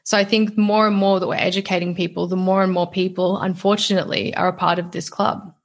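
A woman's voice: fairly low pitch, level tone, brisk, gravelly. An Australian woman, a young adult, talking openly and earnestly as a guest on a podcast interview. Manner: talkative, earnest, open.

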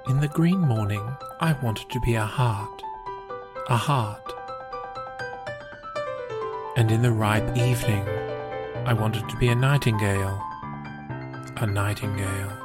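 A man reciting poetry for a podcast in a measured, deliberate manner. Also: fairly low pitch. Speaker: poetry podcast host and reader. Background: music.

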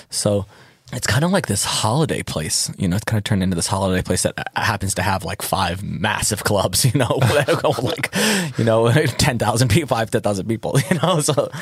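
A young adult man talks loosely and animatedly as an interview guest, laughing often as he tells stories. His voice sits low and carries a gravelly, husky edge. The sound is close and dry. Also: no room sound. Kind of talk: relaxed conversational interview.

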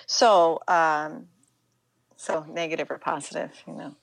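A middle-aged American woman speaking reflectively as an interview guest, halting and emphatic, often acting out her own inner dialogue. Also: sits low, fairly even intonation, vocal fry, dry recording. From United States, Pittsburgh, Pennsylvania.

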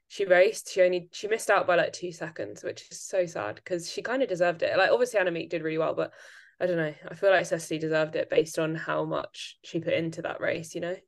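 A woman with a slightly low voice hosts a relaxed podcast conversation. She talks in an animated, rambling way, stacking up fillers and restarts as she builds her point. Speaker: podcast host. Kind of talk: casual chat.

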